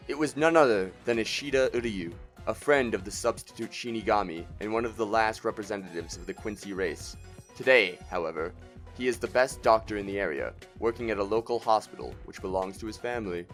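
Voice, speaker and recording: A man's voice: lowish voice, a bit bright. A man giving scripted dramatic narration as the narrator of a story.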